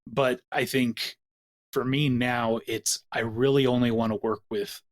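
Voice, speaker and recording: Brief: male producer, mid pitch, earnest, reflective. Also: flat delivery, unhurried. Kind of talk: interview answers.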